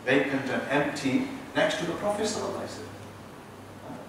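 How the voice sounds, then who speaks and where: A man's voice: mid-range voice, animated, a little fast, noisy background. Man, British accent, imam lecturing, teasing asides, very echoey room, distant mic, faint ambience. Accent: British English. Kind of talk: islamic lecture.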